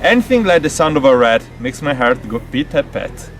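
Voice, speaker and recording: A man's voice: medium pitch, big pitch swings, a little fast, soft background music. A man giving a dramatic amateur reading of verse, narrating and voicing the quoted lines. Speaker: amateur reader. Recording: outdoor ambience, vehicle noise.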